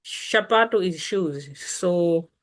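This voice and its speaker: A woman with a Malawian accent gives an informal language lesson as the teacher, repeating words deliberately for learners. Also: lowish voice.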